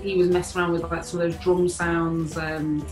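A woman's voice: fairly low pitch, little pitch movement, moderate pace. A woman, a guitarist chatting casually on a video call, full of fillers. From England. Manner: chatty, many fillers, rising on openings. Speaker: guitarist in a band, interviewee. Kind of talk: casual interview chat. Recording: echoey room with faint music.

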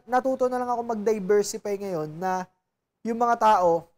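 A man's voice: high-pitched, moderate pace, darkish tone. A man, a podcast guest, speaking earnestly and motivationally in a casual chat, often addressing viewers directly as guys, recorded in a barbershop studio.

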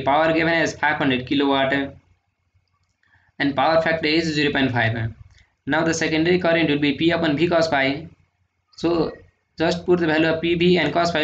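A man working through engineering numericals in a steady, methodical lecture, often adding "uh" and "sir". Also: fairly high pitch. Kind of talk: solving numericals on handwritten notes. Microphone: voice-over on screen recording. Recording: echoey room, dull lo-fi sound, faint noise.

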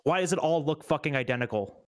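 A man's voice: a little high, animated, quick pace. A man of twenty-seven chatting casually and wryly as a podcast co-host, often repeating words as he gathers his thoughts. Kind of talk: casual chat. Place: room at home, indoors.

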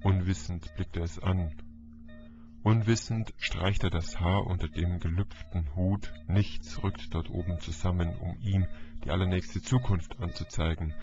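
A man narrating a literary audiobook in a dramatic, deliberate, declamatory manner. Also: low voice, steady tone. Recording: dull lo-fi audio, music behind. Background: music.

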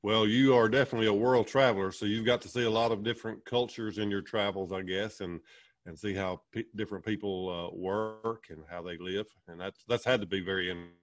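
A man in his forties hosting a podcast interview over a video call, upbeat in his intro and relaxed when asking questions. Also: fairly low pitch, fairly quick. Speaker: podcast host. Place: small indoor room.

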